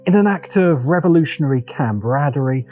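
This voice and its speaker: A man narrating a scripted video essay in a measured, dramatic way, with deliberate phrasing and pauses. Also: on the high side, animated. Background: background music.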